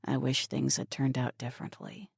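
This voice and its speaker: A woman voicing a regretful young man who speaks low, soft and hesitant. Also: completely flat delivery, moderate pace, gravelly, slightly rough, dry recording, clean studio sound, full character voice.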